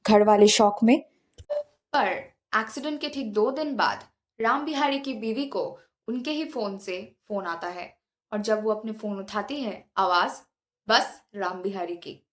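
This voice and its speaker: A woman's voice: medium pitch, a little slow. A woman hosting and narrating scripted paranormal stories in a dramatic, suspenseful way, mixing in English words. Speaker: video host and narrator.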